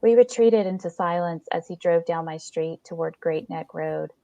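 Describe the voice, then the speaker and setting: A woman narrates a first-person short story aloud. Her voice sits a little low for a woman. The recording is very dry and somewhat dull, lacking top-end detail. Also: normal speed, mellow, no room sound.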